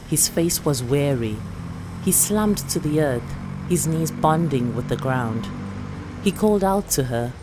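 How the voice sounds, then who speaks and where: A woman's voice: very deep voice, noisy background. A woman with a South African accent reading a short story as dramatic literary narration.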